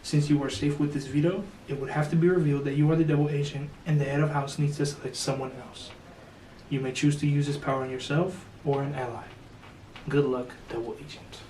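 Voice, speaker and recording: A man's voice: on the high side, fairly even intonation, brisk, dark tone. A young man hosting the show, casually explaining a twist and reading a secret message with many fillers. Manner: laid-back, filler-heavy, jokey. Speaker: host. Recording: echoey room, slightly distant, faint noise.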